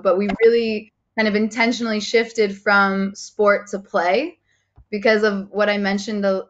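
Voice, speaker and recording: A woman's voice: mid-range voice, highs cut off. A woman coach presenting in an earnest, enthusiastic way during an online training session, with frequent ums and you knows.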